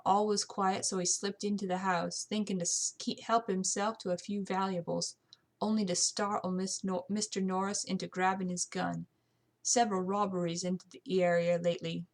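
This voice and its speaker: A woman's voice: medium pitch, little pitch movement, normal speed, close to an everyday voice. Young constable, read by a woman, eager, speculative, put-on British accent, storybook police mystery. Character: eager young male constable offering a theory.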